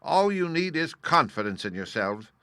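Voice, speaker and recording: A man voicing an old humbug wizard who gently confesses he is no real magician, speaking with a confiding, sheepish manner. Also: on the high side, big pitch swings, moderate pace, clear, resonant tone, no room sound, voice pushed up, heavily acted. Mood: confessing. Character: humble old male humbug wizard, apologetic.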